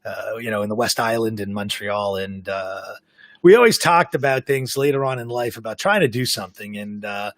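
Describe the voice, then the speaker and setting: A man hosting a live podcast, talkative and self-described as the loudest mouth of the group. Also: fairly low pitch, moderate pace, no room sound, clean studio sound. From Canada. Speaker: podcast host. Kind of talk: casual live podcast chat. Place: home in South Florida.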